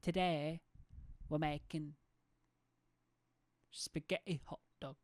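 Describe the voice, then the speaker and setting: A man's voice: fairly high pitch, fairly even intonation, takes it slowly, no echo. A man voicing a chirpy woman who hosts cooking videos, with a sing-song presenter delivery.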